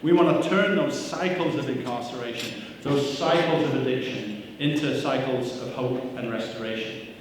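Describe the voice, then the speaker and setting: A man's voice: medium pitch, normal speed, hardly any pauses, slight background noise. Upbeat man speaking in an echoey hall. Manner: upbeat, self-deprecating jokes, frequent "uh" fillers. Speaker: CEO giving a welcome speech. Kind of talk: live speech at a breakfast event. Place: church hall with audience. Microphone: handheld microphone. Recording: echoey hall, mic from a distance.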